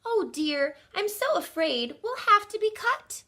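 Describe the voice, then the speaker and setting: A woman's voice: extremely high pitch, big pitch swings, a little slow, pushed and bright, pitched above the performer's own voice, exaggerated voice. A woman voicing a dainty, fretful housemaid in a storybook, with sighing exclamations. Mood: fretful, delighted. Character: dainty, sighing upstairs maid Miss Adelaide, fretful and pleased.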